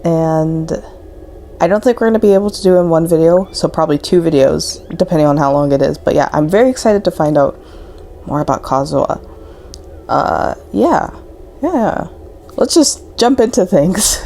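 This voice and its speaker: Young woman, low mellow voice, informal chatty gaming commentary, slight noise behind. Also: lively intonation, normal speed. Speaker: gaming content creator. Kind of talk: casual gameplay commentary. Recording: faint background noise.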